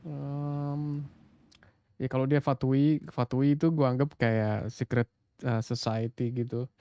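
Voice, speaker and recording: A man's voice: mid-range voice, flat delivery, measured pace, mellow, dry recording, dull audio. An Indonesian man giving casual live-stream commentary on a game, with an Indonesian accent. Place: indoor live-stream setup, game audio behind.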